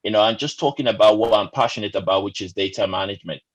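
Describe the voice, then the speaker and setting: A man's voice: lowish voice, quick pace. A man in his forties, originally from Nigeria, answering interview questions earnestly over a remote call, with many fillers and restarts. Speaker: podcast guest. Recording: muffled lo-fi remote call audio.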